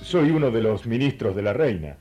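A man's voice: fairly low pitch, very animated, fairly quick, mellow, lo-fi sound, faint music behind. A man playing a courteous, inquisitive royal treasurer from Ethiopia in a radio play, speaking politely and invitingly. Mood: courteous, curious.

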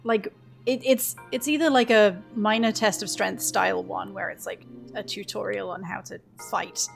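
A woman's voice: mid-range voice, fairly even intonation, normal speed, gravelly, faint music behind. A woman in casual gaming podcast chat, acting out little quotes as she tells things.

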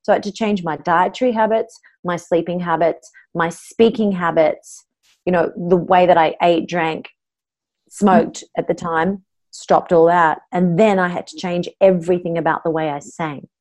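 A woman's voice: lowish voice, fairly even intonation, moderate pace, darkish tone. A middle-aged woman with an Australian accent, a voice coach telling her own story openly and earnestly in an interview.

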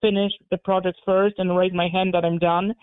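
A man from Poland whose first language is Polish, talking as an interview guest in a halting way, with many repeated words and restarts. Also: sits high, level tone, clean tone, no echo. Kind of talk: casual interview talk. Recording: phone-like narrow band.

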